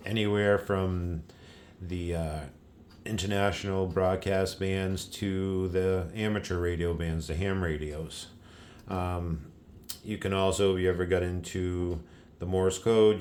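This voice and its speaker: A man hosting a casual, unscripted radio hobby video, explaining things in a low-key, rambling, apologetic way. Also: darkish tone, a little hiss or hum.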